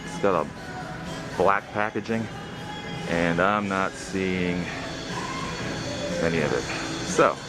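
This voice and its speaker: A man hosting a toy-hunting vlog, commenting casually and haltingly off the cuff as he browses inside a large store. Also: deep voice, over loud music, rough recording.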